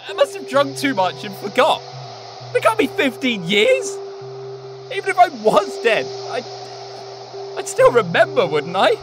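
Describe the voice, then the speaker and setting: A young actor playing a confused, panicky student ghost in a radio drama, halting, agitated and disbelieving. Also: sits high, exaggerated voice.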